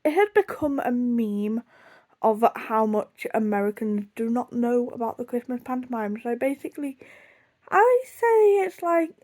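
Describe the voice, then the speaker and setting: A woman's voice: a little high, animated, a little slow, a little mellow. A young woman with a British accent rambling casually through a storytime vlog, chatty, self-deprecating and easily sidetracked. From United Kingdom. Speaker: video blogger.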